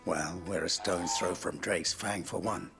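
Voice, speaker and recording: A wary townsman in a fantasy game, his voice deep and creaky. He speaks plainly, with plucked-string music clearly audible behind him. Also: fairly even intonation, unhurried. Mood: wary, matter-of-fact. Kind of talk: video game voice acting.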